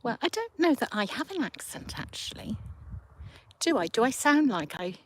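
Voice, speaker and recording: A woman's voice: fairly high pitch, sing-song, slight background noise. A woman, hosting a casual livestream outdoors by a river and chatting with viewers through a handheld phone. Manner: slow, chatty, thinking aloud. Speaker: livestream host. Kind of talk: casual chat with live viewers.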